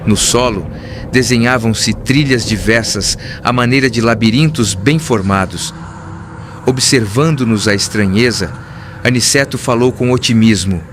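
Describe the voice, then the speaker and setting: A man narrating a literary audiobook in a formal, earnest, measured way. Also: mid-range voice, noisy background. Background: clear background music.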